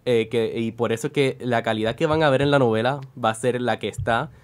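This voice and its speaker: A young man with a Puerto Rican accent answering interview questions in an informal, somewhat halting, conversational way. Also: mid-range voice, fairly even intonation, clear, resonant tone. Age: young adult. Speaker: student audio editor, guest.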